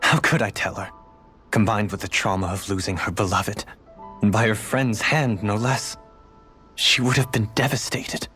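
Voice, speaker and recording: An actor playing a refined, melancholy young lord in a video game, speaking in formal, eloquent, measured phrases. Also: male voice, on the low side, steady tone, sharp tone, faint music behind. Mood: sorrowful, regretful. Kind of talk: dramatic video game voice acting.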